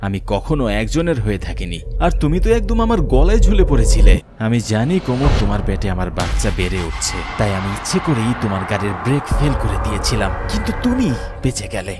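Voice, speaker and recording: A man's voice: lowish voice, big pitch swings, quick pace, loud music behind the voice. A man voicing a cruel, treacherous young lover in a cartoon horror story, with dramatic delivery. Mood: cold menace.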